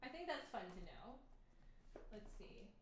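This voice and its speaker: A woman's voice: medium pitch, big pitch swings, measured pace, a bit bright, slight background noise. Chatty woman streamer, rambling. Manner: chatty, rambling with many fillers. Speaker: flutist streamer. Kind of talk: casual live-stream chat.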